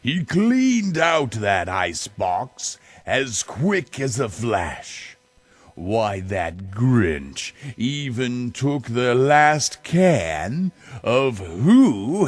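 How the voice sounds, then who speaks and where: A man's voice: mid-range voice, dry recording. A middle-aged man reading a children's storybook dramatically, drawing out words in a theatrical way. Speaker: amateur storyteller reading for family.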